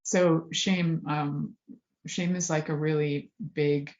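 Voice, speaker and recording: Candid low-voiced woman, rambling. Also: fairly even intonation, slow, darkish tone. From Serbian family background. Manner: candid, rambling, self-correcting, with laughs. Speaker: host. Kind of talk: casual monologue on health and sexuality. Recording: dull lo-fi sound.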